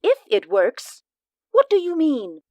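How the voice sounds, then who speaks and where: A scared tearful young woman, old radio play, very high and swooping voice, slow. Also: huge pitch swings, dry recording, highs cut off, heavily acted. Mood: tense. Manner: tearful, fearful, high-strung. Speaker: radio drama actress. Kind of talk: 1940s radio acting.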